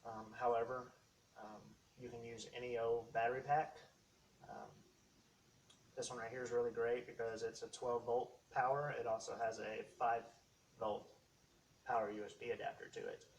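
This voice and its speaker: A man with a medium-pitched voice hosts an unscripted tech walkthrough. He explains things step by step in short, halting phrases. The mic sits some distance away, and there is faint noise behind him. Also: level tone. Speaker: host of a how-to tech video series. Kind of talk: casual unscripted product walkthrough.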